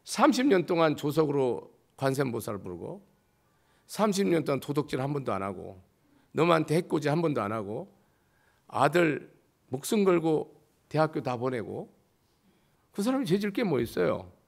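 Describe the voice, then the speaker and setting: Hoarse, gravelly monk preaching emphatically. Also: male voice, on the high side, big pitch swings, moderate pace, pauses often. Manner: emphatic preaching with rhetorical questions, swinging between loud and quiet. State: slightly hoarse. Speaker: Buddhist monk giving a dharma talk. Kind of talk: sermon.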